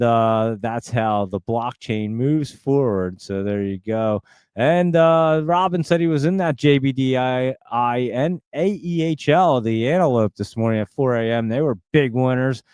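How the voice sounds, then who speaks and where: A man's voice: mid-range voice, a little mellow, clear, resonant tone, no room sound, studio quality. A man in his forties with a New Jersey accent, rambling casually as he hosts a live stream and answers viewer comments. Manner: rambling, chatty, lots of fillers and restarts. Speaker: livestream host.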